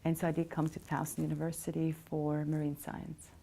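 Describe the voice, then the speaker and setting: A woman's voice: low voice, monotone, brisk, vocal fry, dark tone, breathy edge. A middle-aged woman from Switzerland, telling her life story in an interview with frequent hesitations. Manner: reflective, many hesitations. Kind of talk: casual storytelling.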